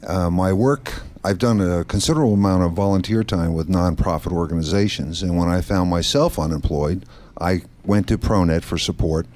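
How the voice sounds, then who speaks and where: A middle-aged man from the Netherlands, a guest on a radio talk show, explaining his nonprofit work in a steady, explanatory way. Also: sits low, moderate pace. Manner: steady, explanatory, occasional restarts. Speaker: guest, branch manager of a nonprofit. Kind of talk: radio interview answers. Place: studio set in a mall.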